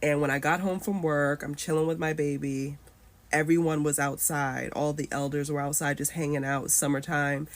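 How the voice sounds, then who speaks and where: A woman's voice: low voice, flat delivery, normal speed. A woman in her thirties telling a personal story candidly, swearing freely. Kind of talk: personal story told to camera.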